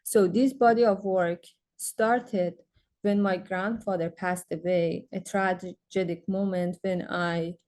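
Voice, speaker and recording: A woman's voice: on the low side, fairly even intonation, takes it slowly, darkish tone. A young woman with a Persian accent, a native Farsi speaker, giving an academic symposium talk about her artwork, reading prepared text with frequent fillers and restarts. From Iran. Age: young adult. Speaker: graduate student artist presenting.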